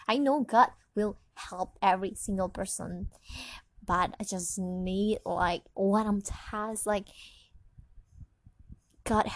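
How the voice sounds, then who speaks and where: Young indonesian woman, accented english, slow drowsy rambling, dry close sound. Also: mid-range voice, a little mellow, no echo. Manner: sleepy, rambling, many fillers and restarts. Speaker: podcast host. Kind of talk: rambling personal podcast monologue.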